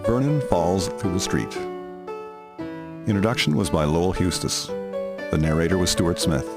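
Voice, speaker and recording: A man's voice: low-pitched, lively intonation, normal speed. A man reading scripted narration as the storyteller of an audio drama. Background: music.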